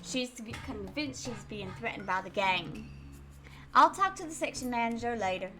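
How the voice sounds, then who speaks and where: A stern male police chief barks out urgent commands, voiced by a woman reading a visual novel aloud. The tone is bright and the pitch higher than a man's, and soft game music plays underneath. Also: animated, moderate pace. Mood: grave. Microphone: webcam and desk mic.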